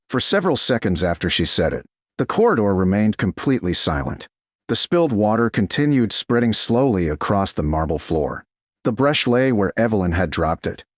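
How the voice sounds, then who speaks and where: A man narrating a romantic story as an audiobook, with dramatic, deliberate short phrases. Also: fairly low pitch, no echo, studio quality.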